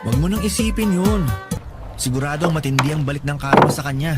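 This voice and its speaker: A man's voice: on the high side, animated, a little fast, close to an everyday voice. A man acting an insecure, self-deprecating young Filipino friend in a radio drama. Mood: gentle, awkward. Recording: loud music bed behind the voice.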